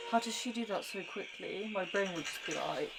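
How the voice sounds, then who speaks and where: A woman casually reacting out loud to a show, with music in the background. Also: medium pitch, brisk, gravelly, poor audio.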